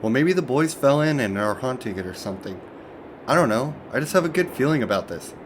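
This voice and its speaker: Guy playing a scoffing young ghost hunter dude, really animated delivery, noisy home recording. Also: mid-range voice, a little fast, lightly acted. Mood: eager. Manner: flippant, scoffing. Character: George, sceptical, excitable young man ghost hunter. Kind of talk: amateur horror audio story voice acting.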